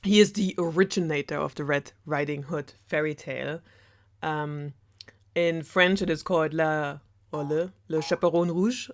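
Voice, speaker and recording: Female storyteller, slightly low voice. Chatty, sarcastic, loose and rambling delivery, prone to giggles. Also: fairly even intonation, moderate pace, faint music behind. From Germany. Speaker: storyteller host of a web series. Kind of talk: casual sarcastic fairy tale retelling.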